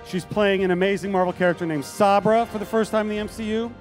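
Male stage presenter, middle-aged, high voice, live announcement style over music. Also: fairly even intonation, fast talker. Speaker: presenter at a fan convention. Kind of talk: stage announcements introducing guests. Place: large convention hall stage. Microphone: handheld microphone.

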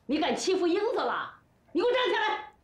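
A woman's voice: high voice, sing-song, normal speed. An older woman acting in a TV drama as a bossy, fiercely protective family friend, scolding and giving emphatic orders. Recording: echoey room, faint background music.

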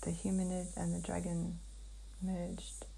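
Woman speaking in a slow, halting trance. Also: on the low side, monotone, gravelly, warm tone, slight background noise, low-quality recording. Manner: halting, fragmented phrases with long pauses. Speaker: client in a hypnotic healing session. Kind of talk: slow trance channeling, answering questions.

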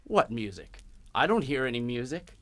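An actor playing a wisecracking, upbeat young husband on honeymoon, in old-time radio drama style. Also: male voice, medium pitch, very animated, moderate pace, a bit bright, faint music behind. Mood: wry, teasing. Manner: jaunty, polite, wry.

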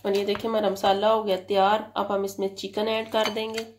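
A woman from Pakistan hosting a cooking channel, talking through a recipe step by step in a plain, even instructional tone. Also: medium pitch, fairly quick. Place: home kitchen. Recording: loud kitchen noise, frying and stirring. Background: sizzling and stirring in a pan.

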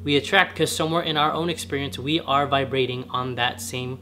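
A young man with a slightly raspy voice, talking earnestly to camera in a casual vlog from his home garage. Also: mid-range voice, fairly even intonation, brisk, music in the background. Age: young adult. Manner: earnest, gesturing with his hands while sitting on the floor. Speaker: vlogger. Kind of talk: casual spiritual vlog talk. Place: home garage, medium-sized, indoors.

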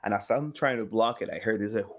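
A man in his thirties from South America, telling stories casually as an interview guest, acting out quotes and pausing to find words. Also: on the low side, a little hiss or hum. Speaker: podcast guest, sound designer. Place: small home room, video call. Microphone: boom-arm microphone with headset.

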